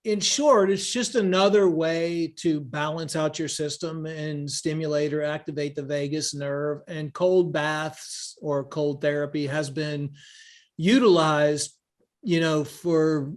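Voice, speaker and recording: A man with a slightly high voice leads an online wellness session, answering in an informal teaching style. He rambles, circles back on his phrases and piles up examples. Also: steady tone, slow. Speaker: wellness teacher and event leader. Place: live online group call.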